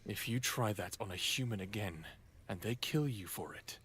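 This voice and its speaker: A man's voice: on the low side, moderate pace, fairly bright tone, slight background noise, lightly acted. A man playing a wry, defiant human hero in his late thirties, sardonic in both dialogue and narration of an audio drama. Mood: dry, defiant.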